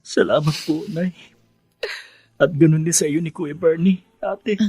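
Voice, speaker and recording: Dutiful teen son in a radio play, earnest, highish voice, no room sound. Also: male voice, fairly even intonation, measured pace, mellow, slightly rough, no room sound. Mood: hurt, apologetic. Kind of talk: radio drama acting.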